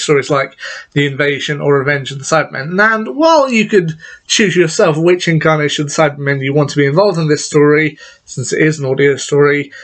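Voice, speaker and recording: A man's voice: on the high side, fairly bright tone. A man giving a casual, enthusiastic spoken review of an audio drama, chatting with restarts and asides.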